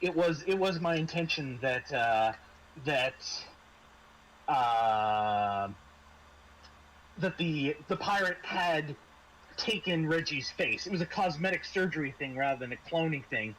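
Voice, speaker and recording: A man with a somewhat high voice narrates casually as a game master. He speaks haltingly, often doubling back on his phrases. There is some background noise on the recording. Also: animated, fairly bright tone, low-quality recording. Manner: halting, trailing repetitions. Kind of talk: wrapping up a tabletop game in casual talk.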